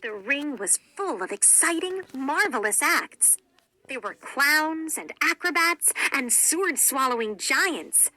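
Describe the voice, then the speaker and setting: A woman narrating a children's read-along story in a deliberate, expressive storytelling manner. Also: high-pitched, low-quality recording.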